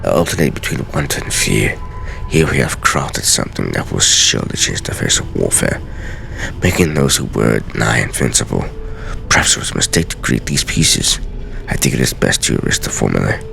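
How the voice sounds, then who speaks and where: Brooding scholar of game journals, acted by a man: grave and theatrical, rock-bottom pitch, swooping intonation. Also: animated, creaky, pushed and bright, soft background music, full character voice. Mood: grave, wondering. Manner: grave, measured, theatrical delivery. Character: solemn, brooding scholar writing secret journal pages. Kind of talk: dramatic reading of game lore.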